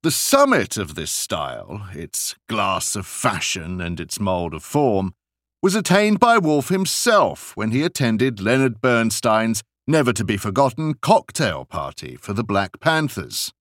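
Slow, highly animated male audiobook narrator. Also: no echo, clean studio sound. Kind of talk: expressive scripted narration of literary essays.

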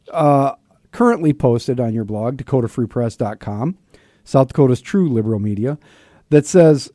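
A man hosting a casual political interview show in a chummy, wry and halting way. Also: on the high side, dark tone, no echo, clean studio sound. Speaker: radio host interviewing a guest.

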